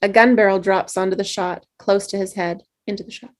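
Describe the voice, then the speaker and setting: Role: narrator. Sex: woman. Style: reading screenplay directions. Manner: steady, plain. Pitch: medium. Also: brisk. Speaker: stage-direction reader.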